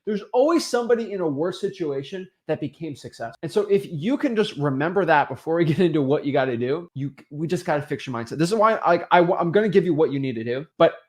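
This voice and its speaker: A young man hosts a webinar with a fairly high, lively voice. He talks emphatically and keeps acting out other people's excuses in mocking voices. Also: fast, few pauses. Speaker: real estate webinar host. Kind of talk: motivational webinar talk.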